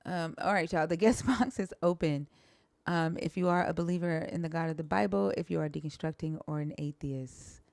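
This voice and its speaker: A woman hosting a call-in live stream, brisk and direct with her callers. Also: deep voice, gravelly, a little mellow, no room sound.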